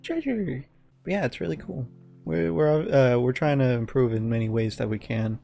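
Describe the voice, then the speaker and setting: A man's voice: medium pitch, lively intonation, normal speed, vocal fry, mellow, soft background music. A young man co-hosting a podcast in an informal, slightly sardonic conversational style. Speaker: podcast co-host. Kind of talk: casual podcast chat.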